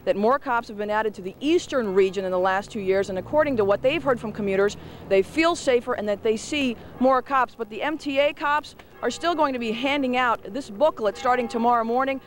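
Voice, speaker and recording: A woman's voice: fairly high pitch, a little fast. A woman giving a live television news report as a field reporter.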